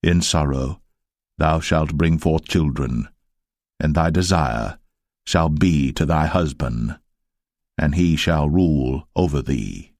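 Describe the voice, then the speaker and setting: A man playing a stern, commanding God, solemn, measured and authoritative, in a dramatised scripture reading. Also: very deep voice, darkish tone, no room sound, studio quality, heavily acted. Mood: stern, judging. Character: almighty God, stern commanding male judge.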